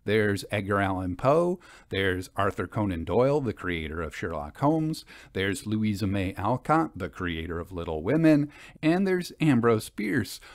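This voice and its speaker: A man's voice: lowish voice, no echo, studio quality. A man hosting a storytelling podcast, talking casually to listeners about old fiction. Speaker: host and story narrator.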